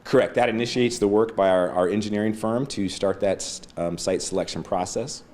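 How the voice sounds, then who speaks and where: A man with a low voice explains things as an official in an interview. He talks at a brisk, fast pace, with faint noise in the background. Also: darkish tone. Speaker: airport official being interviewed.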